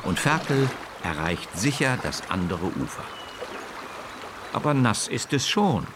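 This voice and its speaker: A man's voice: medium pitch, very animated, measured pace, noisy background. A man narrating a scripted children's story as the narrator of a radio play.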